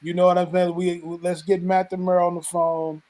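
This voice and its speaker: A man's voice: high voice, monotone, normal speed, a little mellow. A man from St. Louis hosting a casual talk show, boastful and talking over the others. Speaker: host. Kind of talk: casual chat.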